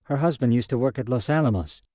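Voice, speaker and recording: A man voicing a friendly, lively young woman who talks in a chatty, slightly hurried way. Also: medium pitch, no room sound, clean studio sound, voice pushed up, close to an everyday voice. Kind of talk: audio drama short story reading.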